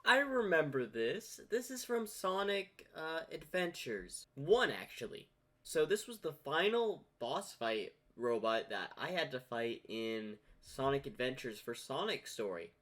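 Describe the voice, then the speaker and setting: A man's voice: medium pitch, very animated, a little slow, pushed and bright. A man giving casual, off-the-cuff reaction commentary as the host of a video.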